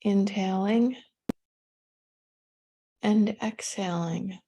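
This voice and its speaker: Female meditation guide, relaxed and warm in tone, mid-pitched, gentle and unhurried delivery. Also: creaky. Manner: gentle, unhurried instruction with pauses.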